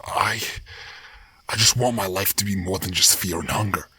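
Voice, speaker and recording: A man's voice: sits low, normal speed, sharp tone, breathy edge, no echo, full character voice. A man voicing a driven wild animal in a dramatic fandub, intense, urgent and solemn. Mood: earnest, pleading. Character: grim, driven male wild animal with whiskers.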